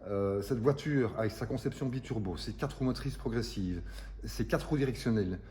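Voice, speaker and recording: A man's voice: mid-range voice, normal speed, dark tone, big-room sound. An older man, explaining car restoration in a casual interview, hesitant and repeating words. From Italian roots.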